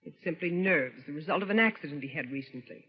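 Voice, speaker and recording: A woman's voice: sits low, animated, quick pace, slightly rough. A woman playing a devoted, defiant wife in a vintage radio drama, with earnest, insistent, theatrical delivery. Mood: defensive, pleading. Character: devoted, defiant young wife of an unstable husband. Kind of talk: mid-century American radio drama acting. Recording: vintage radio, muffled, long reverb.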